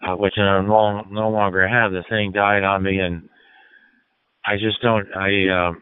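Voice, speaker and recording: Flat, low male voice on tinny radio. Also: a little slow, a little hiss or hum. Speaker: amateur radio operator. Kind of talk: casual recollection over radio. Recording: tinny narrowband radio transmission.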